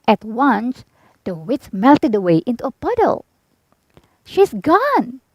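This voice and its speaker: A woman reading a children's storybook aloud as expressive scripted narration. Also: on the high side, measured pace, no echo, low-quality recording.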